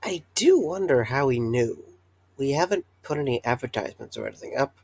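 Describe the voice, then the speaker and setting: A man's voice: mid-range voice, expressive, brisk, gravelly, a little mellow, breathy edge. An actor playing a tired, earnest young man who wants to reopen a pizzeria, talking with hesitant fillers. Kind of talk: fan audio drama acting.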